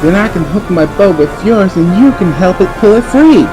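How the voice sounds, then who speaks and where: A man playing a sailor who calls out loudly in an amateur audio drama. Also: high-pitched, expressive, moderate pace, over music, low-quality recording. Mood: urgent. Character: stranded male sailor calling over a storm. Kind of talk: amateur audio drama, loud calling delivery.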